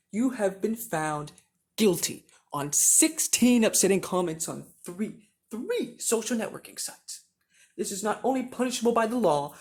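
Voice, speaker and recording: A man acting a polite but menacing enforcer, smug and officious, delivering a formal, measured villain monologue. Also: sits high, vocal fry, darkish tone. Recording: echoey room, distant mic, faint hiss.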